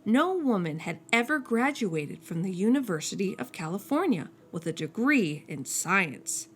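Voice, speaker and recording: A woman reading a children's picture book aloud as a storyteller, slowing down and dropping soft and low on each refrain. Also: very animated, faint music behind.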